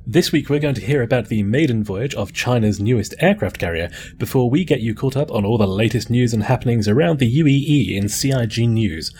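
A man's voice: medium pitch, expressive, a little fast, few pauses, a little mellow. A man co-hosting a podcast, reading a scripted news segment with wry, sarcastic asides. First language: not Chinese. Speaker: podcast co-host.

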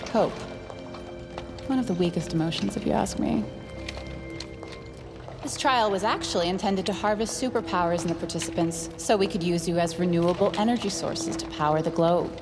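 An actress playing a cold, smug scientist villain in an audio drama, condescending and gloating beneath a soothing front. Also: female voice, lowish voice, a little fast, close to an everyday voice. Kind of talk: scripted audio drama acting. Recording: dramatic music behind the voice.

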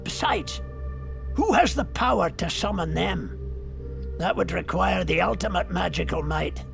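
A man's voice: sits high, animated, unhurried, gravelly, a bit bright, soft background music, exaggerated voice. An actor playing an aging, worldly wizard in an audio drama, sly and condescending, savoring his phrases with arch chuckles. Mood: dismissive, grandiose.

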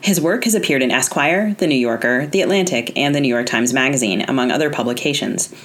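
A woman co-hosting a podcast, reading guest introductions in a crisp, listing delivery. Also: rumbling low, fairly quick, a bit bright. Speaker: podcast co-host.

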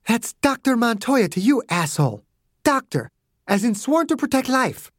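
A man voicing a small, fierce woman doctor who shouts, swears and accuses, in an audiobook. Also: very high-pitched, wildly swooping pitch, a little fast, slightly rough, dry recording, studio quality, voice pushed up, heavily acted. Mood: furious.

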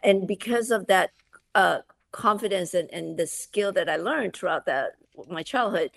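Animated woman from Malaysia, slightly creaky. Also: on the low side, moderate pace, no room sound. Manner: animated, with frequent restarts and "you know". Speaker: guest, food subscription founder. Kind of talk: conversational interview.

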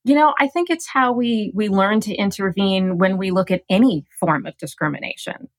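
A woman's voice: mid-range voice, normal speed, no room sound. Articulate woman guest explaining in a dry studio. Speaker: gerontologist guest. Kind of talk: radio interview.

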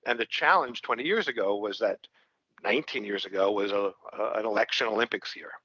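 A man's voice: on the low side, fairly quick, bright, forceful tone, breathy edge, no echo. Rambling Brooklyn man, dry muffled sound. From Brooklyn, New York. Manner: rambling, lots of fillers and restarts. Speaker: IT company co-founder, guest. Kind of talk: casual podcast interview.

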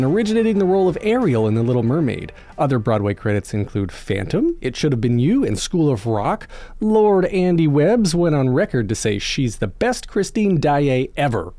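A man hosting a podcast, his voice husky and hoarse from a cold, chatty with frequent restarts. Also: mid-range voice, no room sound. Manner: chatty, restarts, lively intro plugs. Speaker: podcast host. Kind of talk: casual podcast chat and intro.

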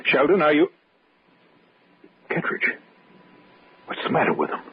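A man's voice: on the high side, huge pitch swings, measured pace. An actor playing an alarmed, urgent man in old-time radio horror drama style. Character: alarmed man investigating a scream at night. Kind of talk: 1940s radio drama acting. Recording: muffled old radio, faint noise.

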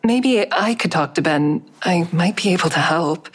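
A woman's voice: fairly low pitch, expressive, moderate pace, a little hiss or hum, close to an everyday voice. An actress playing an anxious, earnest crisis counselor, telling her story in a hesitant, introspective first-person style for an audio drama. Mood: gentle, hesitant.

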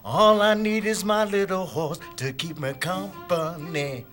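A man's voice: high-pitched, unhurried, a bit bright, faint music behind. An actor voicing eager young boy with a horse. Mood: dreamy. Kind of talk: radio drama acting.

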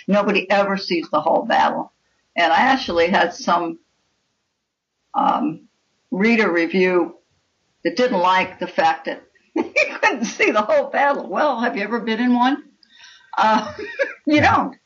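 A woman's voice: lowish voice, fairly bright tone. A woman speaking as a guest author in a reflective interview, emphatic and opinionated in the way she talks. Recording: dull lo-fi call sound.

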